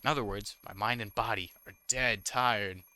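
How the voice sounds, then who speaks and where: A man's voice: lowish voice, fairly even intonation, moderate pace, pushed and bright, no room sound. A man reading visual novel text aloud with casual, wry commentary, sometimes stumbling over the words. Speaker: gaming YouTuber, host.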